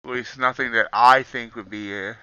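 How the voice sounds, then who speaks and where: A hoarse man hosting a livestream, chatting casually as he reacts to the chart and greets viewers. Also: medium pitch, fairly even intonation, moderate pace, sharp tone, no room sound, highs cut off. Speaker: futures trader hosting a livestream. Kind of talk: casual live-stream market commentary.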